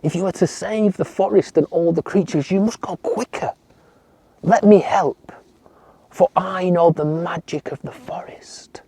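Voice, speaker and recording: An ancient hermit of the woods, earnest and kindly, as a man puts him on for a children's story. The voice sits higher than the teller's own and moves slowly, with a warm, dark tone. It is recorded close and dry. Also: dry recording. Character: ancient wild old forest man, kindly.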